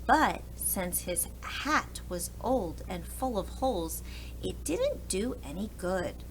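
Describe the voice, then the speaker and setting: A woman preschool teacher reading a storybook aloud to young children in an expressive, deliberate way. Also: medium pitch, slight background noise, rough recording.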